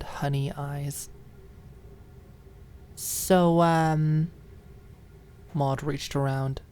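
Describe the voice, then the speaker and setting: A woman reading dramatic scripted fiction as an audiobook narrator, with expressive, emotive storytelling. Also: very deep voice.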